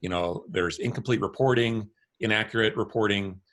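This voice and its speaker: Man, panel speaker, low voice, loose conversational delivery with repeated words. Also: moderate pace, a bit bright. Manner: conversational, repeats words, uses "you know" and "I mean". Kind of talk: panel discussion.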